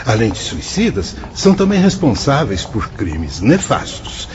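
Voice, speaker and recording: An actor playing a solemn, compassionate spirit guide, a fatherly mentor speaking in a measured, declamatory way in a radio drama. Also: medium pitch, wildly swooping pitch. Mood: grave, warning. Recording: dull radio drama, music underneath.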